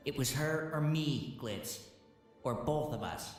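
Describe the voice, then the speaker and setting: A man's voice: medium pitch, expressive, takes it slowly. A man playing a defensive, bitter pony haunted by guilt in an audio drama, snappish and sarcastic, distant and echoing. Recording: very echoey and distant, faint music.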